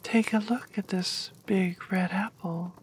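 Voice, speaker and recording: A woman voicing a wicked queen disguised as an old woman, speaking in a squeaky, whispered voice. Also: steady tone, slow, a little hiss or hum, close to an everyday voice. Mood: coaxing.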